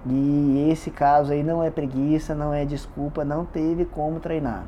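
A man's voice: fairly high pitch, level tone, normal speed, lo-fi sound, slight background noise. Congested man chatting casually. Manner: chatty, repeats words for emphasis. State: congested, stuffy nose. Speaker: vlogger documenting a fitness challenge. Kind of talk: casual confessional vlog.

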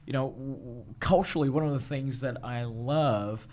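A man's voice: mid-range voice, normal speed, darkish tone. A man, born in Malaysia and raised in Australia, answering interview questions thoughtfully, with many fillers and restarts. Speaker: guest filmmaker. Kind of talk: casual interview.

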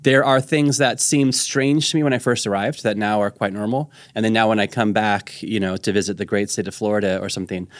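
Chatty forty-year-old American pastor. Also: male voice, lowish voice, fairly even intonation, brisk, few pauses, no room sound. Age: 40s. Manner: self-deprecating, chatty, many restarts. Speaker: pastor and podcast host. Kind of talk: casual interview chat.

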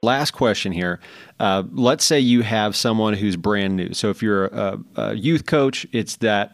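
A man's voice: lowish voice, unhurried, gravelly, dry recording, clean studio sound. A man hosting a podcast, asking questions in a friendly, conversational way. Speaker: podcast host. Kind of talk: podcast interview.

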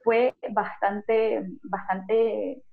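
A woman's voice: medium pitch, extremely slow, creaky. Venezuelan woman on a tinny call. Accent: Venezuelan Spanish. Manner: fluent, lively storytelling with laughs. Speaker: guest, pharmacist. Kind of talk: conversational interview. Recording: narrow phone-like video call audio.

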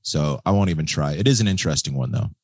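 Man, American accent, very low pitch, husky, laid-back podcast host, slangy casual talk. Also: expressive, quick pace, no echo, studio quality. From United States. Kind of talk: casual chat about motor racing.

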